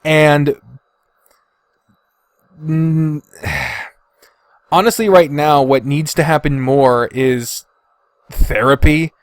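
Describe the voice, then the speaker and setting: Male podcast host, slightly high voice, dry close-miked sound, casual and opinionated, swears freely. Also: extremely slow, long pauses, no room sound. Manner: blunt, frequent swearing.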